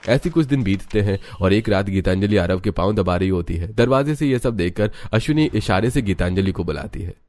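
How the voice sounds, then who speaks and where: A man's voice: lowish voice, lively intonation, brisk, few pauses, darkish tone. A man reading scripted narration for a story. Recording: music under the voice. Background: background music.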